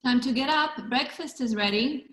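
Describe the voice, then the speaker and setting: Young-sounding woman as high-pitched brisk grandmother, echoey room. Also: fairly bright tone. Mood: brisk, stern. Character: brisk sixty-five-year-old Russian grandmother. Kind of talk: amateur script table read. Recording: roomy, echoing sound.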